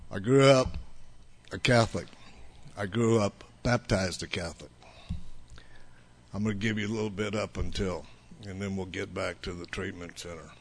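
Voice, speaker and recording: An older man in his seventies, with a fairly low voice, gives a personal testimony to a listening crowd. He talks bluntly and loosely, circling back and restarting his sentences as he goes. His first language was Italian. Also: normal speed, long pauses. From United States. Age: 70s. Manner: rambling, blunt storytelling with restarts. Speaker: speaker sharing his recovery story.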